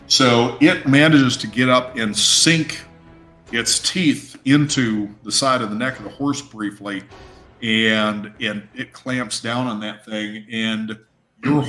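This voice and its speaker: A man running a tabletop game, improvising narration haltingly, thinking aloud and swearing casually. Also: mid-range voice, sharp tone. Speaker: game master. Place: indoor room on a live stream. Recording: roomy echo with music underneath.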